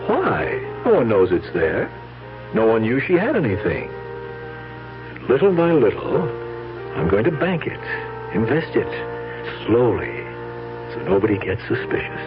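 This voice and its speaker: An actor playing a scheming, callous nephew who feigns grief in an old-time radio mystery, furtive and falsely solemn. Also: male voice, mid-range voice, huge pitch swings, a little slow, pauses often, music in the background. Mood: smug, persuasive. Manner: furtive, muttering when alone, falsely solemn with others. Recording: muffled, noisy old radio recording with hum.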